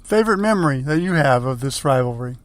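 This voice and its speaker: A man's voice: medium pitch, animated, fast, dry recording. A man hosting a sports talk show, interviewing guests in a conversational way.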